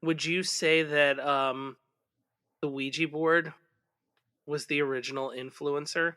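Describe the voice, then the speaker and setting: Very bright, clear-voiced man as podcast guest. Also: on the high side, steady tone, slow pace. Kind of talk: casual joking podcast chat.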